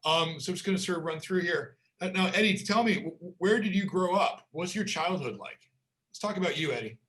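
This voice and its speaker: A man's voice: high voice, animated, a little fast, a bit bright, rough recording. A man hosting an educational webinar, talking with stammering restarts and wry asides. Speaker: webinar host.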